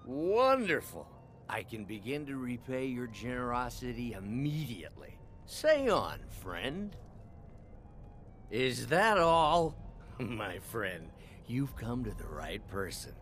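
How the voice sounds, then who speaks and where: A man's voice: mid-range voice, sing-song, slow pace, a bit bright. A man playing a boisterous, sardonic drunk in a video game, speaking in a grandiloquent, theatrical and mocking way. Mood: effusive, grateful. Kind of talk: video game character acting. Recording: game music behind the voice.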